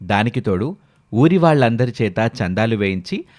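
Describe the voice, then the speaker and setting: A man's voice: fairly low pitch, big pitch swings, normal speed, darkish tone. A man narrating a scripted story as the storyteller.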